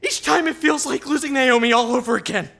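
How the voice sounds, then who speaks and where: A man's voice: extremely high pitch, fairly quick, vocal fry, bright, forceful tone, exaggerated voice. A man playing an agitated, grief-stricken widower in an audio drama, distraught, repetitive and obsessive.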